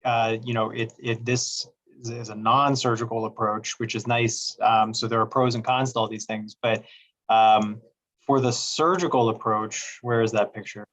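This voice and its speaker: A man's voice: fairly low pitch, steady tone, moderate pace, a bit bright. A man hosting a medical webinar, asking questions in a loose, chatty way with plenty of fillers over a video call. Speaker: webinar host, doctor. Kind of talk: casual moderating of a medical webinar.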